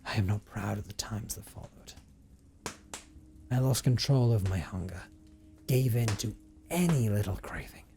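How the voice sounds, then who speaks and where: A man acts out a brooding vampire haunted by guilt, confessing his past in an audio drama. His low voice is measured and formal, often sinking into a breathy hush. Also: a little hiss or hum. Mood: remorseful, brooding. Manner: measured, hushed, formal storytelling with breathy drops. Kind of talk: dramatic audio roleplay monologue.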